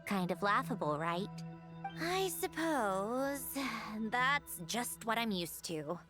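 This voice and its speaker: A sardonic, wistful machine woman in a video game. Her delivery is slow but very expressive, with dry irritation in the phrasing. Music plays clearly behind her. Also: fairly high pitch. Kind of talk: scripted game voice acting.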